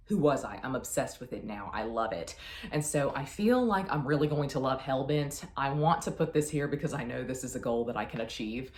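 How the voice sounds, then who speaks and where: A woman with a strikingly low voice talks casually as a book reviewer. She is emphatic and opinionated, tossing in questions to herself, and the room around her adds a clear echo. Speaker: book reviewer, video host. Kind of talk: casual book chat to camera. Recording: echoey, roomy sound.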